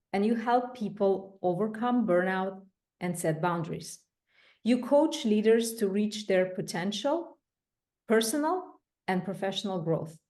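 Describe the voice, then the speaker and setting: Woman host, unhurried delivery, effusive and deliberate with guests. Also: medium pitch, darkish tone. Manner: slow, deliberate, effusive praise. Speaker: podcast host and co-founder. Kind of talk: podcast interview.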